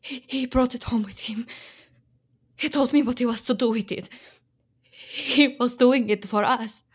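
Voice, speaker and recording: An actress playing a desperate Slovak immigrant wife in a radio play, pleading and defiant in halting, Slovak-accented English. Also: female voice, fairly high pitch, husky. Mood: anguished confession.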